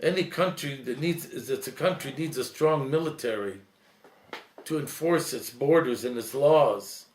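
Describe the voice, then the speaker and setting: A man, a priest, giving an earnest, reflective talk, slowing and softening at emotional points. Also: medium pitch, reverberant, a little hiss or hum. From Lebanese heritage.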